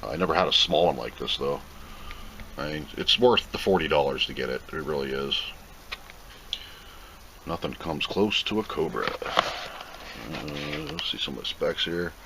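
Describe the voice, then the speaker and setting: A man's voice: rumbling low, animated, measured pace, long pauses, pushed and bright, rough recording. A man giving a casual, plain-spoken unboxing commentary, rambling and thinking aloud as he goes. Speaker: video host reviewing a product. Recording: clear background noise.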